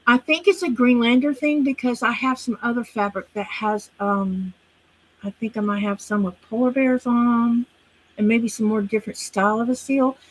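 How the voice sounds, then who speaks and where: A woman with a fairly high voice talks in a relaxed, friendly chat. She thinks aloud as she goes and hesitates often with fillers. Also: normal speed, rough recording. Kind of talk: casual chat.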